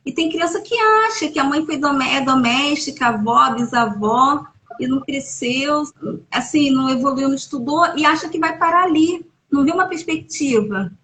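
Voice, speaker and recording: A woman's voice: high voice, normal speed, fairly bright tone, poor audio. A woman with a Brazilian Portuguese accent, an educator who runs school workshops, talking casually and emphatically on a live video call. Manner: emphatic, encouraging, rising when making a point. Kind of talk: casual conversation on a live stream. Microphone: phone or laptop on a live video call.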